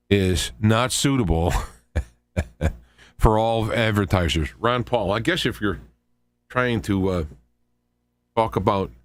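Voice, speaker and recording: A man with a fairly low voice hosts a talk show in a dry, close-sounding space. He delivers informal political commentary as a monologue, halting often and wandering into conversational asides. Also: no room sound. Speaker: radio show host.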